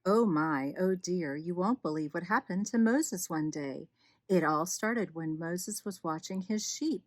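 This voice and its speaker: A woman narrating a bedtime story for young children, expressively and deliberately, with dramatic emphasis. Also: fairly low pitch.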